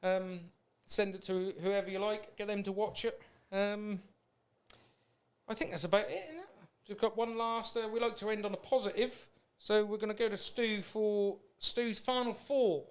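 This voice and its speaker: A man's voice: sits high, steady tone, normal speed, clear, resonant tone. A British man hosting a podcast in a casual, rambling, anecdotal way. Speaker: podcast host. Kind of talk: casual chat.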